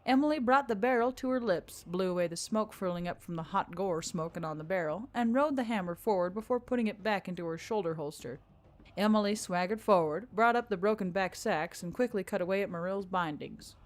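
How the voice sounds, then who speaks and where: A woman's voice: medium pitch, brisk. A woman reading scripted fiction as a dramatic narrator. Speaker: story narrator.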